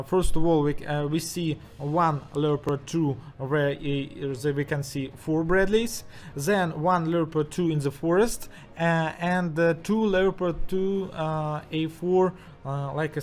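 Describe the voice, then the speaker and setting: A man speaking English with a strong Russian accent, commenting haltingly over footage with many fillers and restarts. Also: on the high side. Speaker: channel host.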